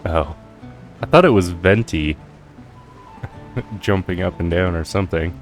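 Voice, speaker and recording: A man's voice: sits low, takes it slowly, soft background music. A man giving casual, laid-back let's-play commentary as a video game streamer.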